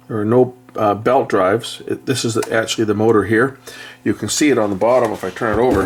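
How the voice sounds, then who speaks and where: Low-voiced man over background noise. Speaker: electronics repair technician and video host. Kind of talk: casual hands-on repair demonstration narration.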